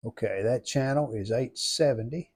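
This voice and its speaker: A man giving casual how-to narration as a hobbyist restorer, plain-spoken and folksy, low-key, talking in short phrases. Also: normal speed, a little mellow, a little hiss or hum. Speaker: hobbyist restorer demonstrating a repair.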